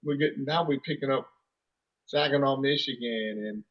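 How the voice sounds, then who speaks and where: A man's voice: mid-range voice, moderate pace, lo-fi sound. A man in his thirties, a musician guest, telling stories in a rambling, casual way with lots of "you know" and restarts. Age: 30s. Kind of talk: casual storytelling interview.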